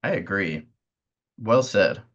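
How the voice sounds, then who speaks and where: A man's voice: lowish voice, lively intonation, slow, fairly bright tone. A man hosting a casual interview with hesitant phrasing, on slightly muffled audio. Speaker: interviewer, host.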